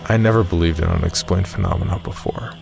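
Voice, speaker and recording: A man reading a scripted horror story as narrator, grave and measured. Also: low-pitched. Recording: clear music bed under narration.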